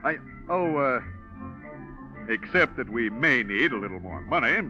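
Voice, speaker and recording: A man's voice: medium pitch, big pitch swings, measured pace, sharp tone, full character voice. An American man playing a jovial, slippery business partner in 1940s radio style, blustering and chuckling as he hems and haws. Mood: evasive, smug. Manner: blustering, hemming and hawing, chuckly. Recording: lo-fi old radio, music behind.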